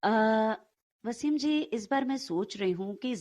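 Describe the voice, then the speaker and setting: A woman's voice: fairly high pitch, expressive, a little slow, dark tone, no room sound. A woman co-hosting a story show, talking in a conversational style.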